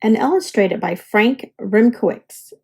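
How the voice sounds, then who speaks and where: A woman storyteller reads a children's book aloud. Her voice is high and very lively in pitch, and she takes her time, narrating deliberately and expressively. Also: slightly rough, low-quality recording.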